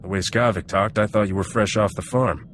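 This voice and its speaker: An actor playing a cynical, unsentimental smuggler captain in a video game, blunt and sarcastic. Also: male voice, on the low side, fairly even intonation, fairly quick, a bit bright, slight background noise, natural voice. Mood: dismissive.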